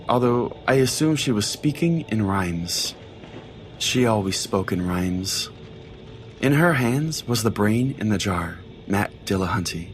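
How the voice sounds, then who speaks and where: A man with a fairly low voice narrates a scripted first-person story. His telling is dramatic and wry, with light music underneath. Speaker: narrator, podcast host.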